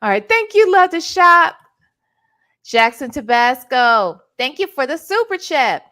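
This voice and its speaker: A woman with a fairly high voice hosts a casual livestream. She talks bluntly and in slang, exclaiming as she comments and reads from the chat. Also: big pitch swings, unhurried, pushed and bright. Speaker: livestream host.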